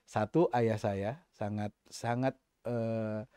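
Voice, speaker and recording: A middle-aged man from East Java with a faint East Javanese accent, talking thoughtfully in a podcast interview, pausing often with fillers and restarts. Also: fairly low pitch, fairly even intonation, mellow, clean tone, no echo, studio quality. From East Java, Indonesia. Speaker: guest, senior finance official.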